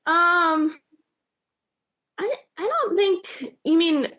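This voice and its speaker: A woman's voice: extremely high pitch, animated, drawn-out words, sharp tone. A woman who sings in a cover band, answering interview questions in a casual, hesitant way with lots of fillers and restarts. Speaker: singer in a cover band, guest.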